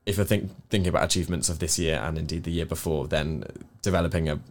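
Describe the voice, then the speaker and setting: A man's voice: extremely low pitch, fairly even intonation, fast. A man with an English accent co-hosting a news review, explaining his opinions in an earnest, conversational way with careful qualifications. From England. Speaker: co-host of a news review show. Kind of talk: conversational political discussion.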